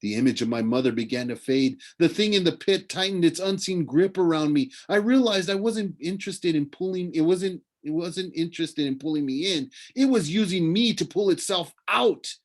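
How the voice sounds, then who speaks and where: A man narrating a children's novel aloud like a teacher reading to students, in an expressive storytelling way. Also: a little high, normal speed, hardly any pauses.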